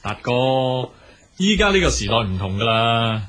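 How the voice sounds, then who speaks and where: An actor playing an easygoing, modest young father who shares the housework, in a raspy voice in a Cantonese radio drama. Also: medium pitch, very animated, unhurried, a crowd in the background. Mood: earnest. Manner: agreeable, chuckling, modest.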